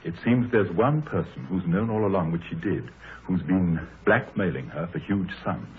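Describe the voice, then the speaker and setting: An actor playing a troubled, anxious country gentleman, grave, hesitant and formal, in a 1930s radio drama. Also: deep voice, normal speed, husky. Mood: anguished. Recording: muffled, noisy 1930s radio recording.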